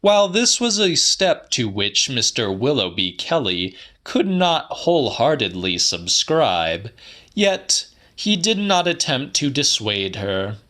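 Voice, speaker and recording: A man narrating a literary audiobook in a slightly dramatic style, with deliberate, theatrical and precise phrasing. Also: mid-range voice, very animated, pushed and bright. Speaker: audiobook reader.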